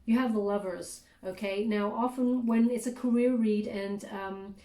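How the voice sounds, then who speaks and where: A woman's voice: mid-range voice, steady tone, measured pace, warm tone, echoey room. A woman giving a casual tarot reading, chatty and full of fillers, often checking in with "okay?". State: clearing throat, voice catching. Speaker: tarot reader.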